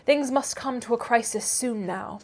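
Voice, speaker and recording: A woman voicing a self-assured young gentlewoman matchmaker in a classic novel, knowing, precise and a little condescending. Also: a little high, moderate pace. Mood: pleased, amused. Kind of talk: classic novel read aloud.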